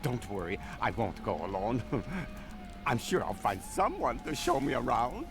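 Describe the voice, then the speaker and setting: A flamboyant, adventurous merchant of gems in a fantasy game. His voice leaps and dips wildly as he chats, genial and theatrical, with music clearly playing behind him. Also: medium pitch, very animated, a little slow. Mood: playful, adventurous. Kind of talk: video game character dialogue.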